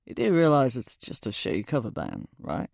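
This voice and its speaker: A woman's voice: very deep voice, wildly swooping pitch, normal speed, gravelly, darkish tone, no echo, voice pushed down, full character voice. A woman voicing a cool, cagey young British man in an audiobook, offhand and dry. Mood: dry, dismissive. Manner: offhand, dry, self-mocking. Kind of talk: audiobook character dialogue.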